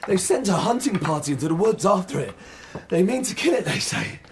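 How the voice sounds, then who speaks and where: A man's voice: high-pitched, sing-song, fairly quick, background noise. A man playing an earnest, dutiful young cottager in an audio drama. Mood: alarmed, urgent.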